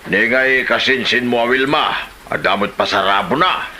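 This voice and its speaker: A man's voice: mid-range voice, measured pace, pushed and bright, slight background noise. A man acting a stubborn, grumbling older father in an Ilocano comedy drama, plain-spoken.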